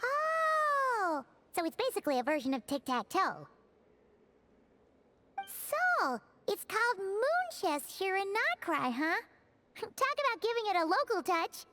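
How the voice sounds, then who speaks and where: Squeaky, excitable little fairy companion. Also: female voice, unhurried, background noise, full character voice. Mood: cheerful, chatty. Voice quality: squeaky, childlike. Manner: refers to herself in third person, exclamatory. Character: tiny excitable childlike female floating fairy companion. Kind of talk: animated video game voice acting. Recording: music behind the voice. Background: game music.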